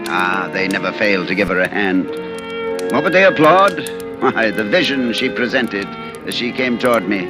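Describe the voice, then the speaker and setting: A man's voice: on the low side, expressive, normal speed, lightly acted. An actor in a 1940s radio drama playing an urbane, talkative male stage mentalist who speaks in a suave, theatrical, mannered way. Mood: wry, chatty. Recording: old radio recording, faint music.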